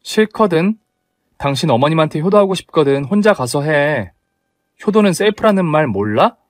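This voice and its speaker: A man's voice: fairly high pitch, animated, moderate pace, no echo, studio quality, voice pushed up, close to an everyday voice. A man voicing a young wife who argues back at her mother-in-law in an indignant, pleading way. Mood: defiant.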